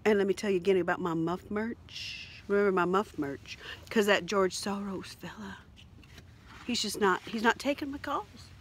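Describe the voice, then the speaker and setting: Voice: medium pitch, normal speed, slight background noise, close to an everyday voice. A performer voicing a rambling, theatrical, crude mock Southern grifter in an improvised satirical monologue, with drawling, melodramatic repetition. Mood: rambling, scheming. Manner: drawling, melodramatic, repetitive, lurching from whispers to shouts.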